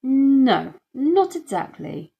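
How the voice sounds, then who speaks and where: A woman's voice: sits high, big pitch swings, drawn-out words, gravelly, mellow, pitched above the performer's own voice, heavily acted. A woman voicing a pompous, formal male neighbour who captains the neighbourhood watch, in a children's audiobook. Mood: pompous, polite.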